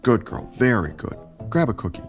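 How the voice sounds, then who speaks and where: An actor playing a bossy, sarcastic, hungry talking dog in an audio drama, with a deadpan, commanding manner. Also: male voice, mid-range voice, moderate pace, soft background music, close to an everyday voice.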